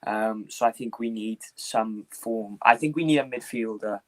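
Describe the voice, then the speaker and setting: A man hosting a casual football panel, talking at a measured pace with frequent fillers and restarts. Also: lowish voice, rough recording. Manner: flat, measured, hesitant with fillers. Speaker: panel host, Liverpool fan.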